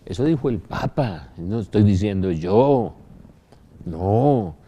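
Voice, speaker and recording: An older Colombian man speaks in a low, mellow voice. He gives an informal religious talk as a storyteller would, stressing his points and tossing rhetorical questions to his listeners. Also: expressive, takes it slowly, a little hiss or hum. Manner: anecdotal, emphatic, rhetorical questions.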